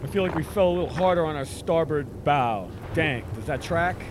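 A man's voice: fairly high pitch, animated, moderate pace, noisy background, close to an everyday voice. A man playing the terse, decisive leader of a spaceship science crew in an audio drama. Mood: urgent, decisive.

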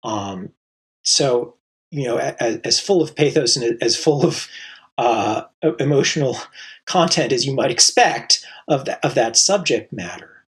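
A man being interviewed as a composer, answering thoughtfully with many pauses, fillers and restarts. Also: medium pitch, lively intonation, breathy edge. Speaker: composer guest.

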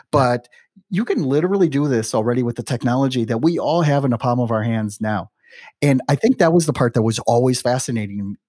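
A man in his forties hosts an interview podcast in a relaxed, chatty way. He keeps checking in with "right?" and "you know" as he makes his points. His mid-pitched voice is recorded dry and close. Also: husky, no echo. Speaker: podcast host. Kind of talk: conversational interview talk.

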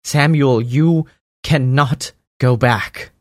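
A man voicing an earnest elven woman who gravely pleads and warns. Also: mid-range voice, extremely slow, no echo, studio quality. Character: earnest elven woman, frail-looking but strong.

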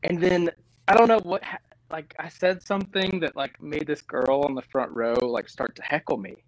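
Guest brief: young man from Tennessee, excitable storyteller, dry close sound. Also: on the high side, moderate pace, no room sound, low-quality recording. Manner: animated, laughs often, lots of "like". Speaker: lead singer, guest. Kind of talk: casual storytelling interview.

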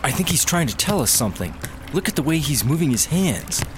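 An animated, wisecracking sidekick. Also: male voice, a little high, brisk, background noise. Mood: curious, puzzled. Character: excitable, wisecracking male sidekick in squad duo. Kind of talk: sci-fi comedy audio drama acting. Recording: music behind the voice.

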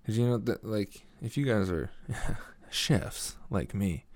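A young man with a very low, deep voice rambles slowly through a casual solo podcast. He is laid-back, swears freely and laughs at himself. He sits close to a desk mic in a small foam-lined room that sounds dry and dead. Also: darkish tone, dry recording. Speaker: podcast host. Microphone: studio mic at a desk.

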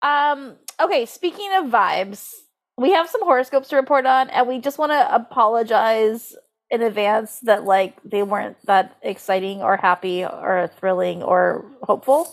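A woman's voice: fairly high pitch, moderate pace. A woman co-hosting a podcast, chatting casually and playfully in loose comedic riffs. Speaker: podcast co-host. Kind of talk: casual comedic chat about horoscopes.

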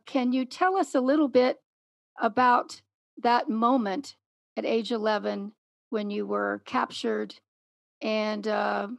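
A middle-aged woman hosting an interview, speaking in a clear, measured way. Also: medium pitch, no room sound. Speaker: podcast host and interviewer.

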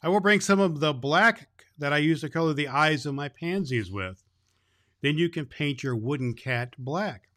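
A man's voice: on the high side, lively intonation, moderate pace, a bit bright. A man voicing helpful little flower elves in a children's story, eager and sing-song.

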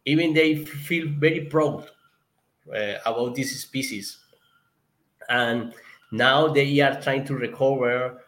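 A man's voice: medium pitch, slow pace, a bit bright. A man from Colombia with a Colombian Spanish accent, answering as a guest in an interview, often stopping and restarting as he searches for words. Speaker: guest, conservation project member.

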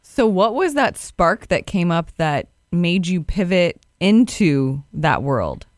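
A woman's voice: on the low side, very animated, takes it slowly, dry recording, clean studio sound. A woman co-hosting a podcast, making teasing jokes and asking the guest questions. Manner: playful teasing remarks. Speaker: podcast co-host. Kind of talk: casual interview.

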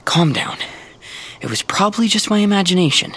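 A man's voice: high-pitched, animated, fairly quick, a bit bright, husky, heavily acted. A young man acting as an eager, naive seventeen-year-old prince in an audio drama, exclaiming and talking to himself with melodramatic exasperation. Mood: nervous.

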